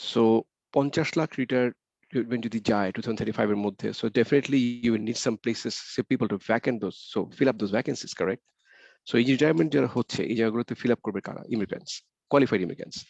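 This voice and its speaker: Rapid-fire gravelly man presenting. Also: mid-range voice, darkish tone, no room sound. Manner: rapid, repeats key points, asks rhetorical questions. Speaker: webinar presenter. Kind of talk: slide presentation mixing English and Bengali. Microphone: computer mic on a video call. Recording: dull, slightly muffled webinar audio.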